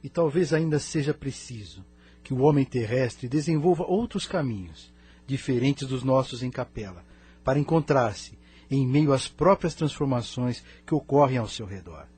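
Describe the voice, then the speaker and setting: A man's voice: medium pitch, lively intonation, normal speed, darkish tone, slightly rough. A man with a Brazilian accent playing a venerable spirit counsellor in an audio drama, sober and didactic. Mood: sober, counselling. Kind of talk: scripted audio drama dialogue.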